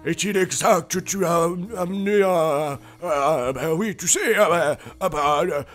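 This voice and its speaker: A man voicing a pompous, forgetful cartoon king and father, loud, indignant and stammering. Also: on the high side, takes it slowly, faint music behind, pitched above the performer's own voice. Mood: indignant, flustered.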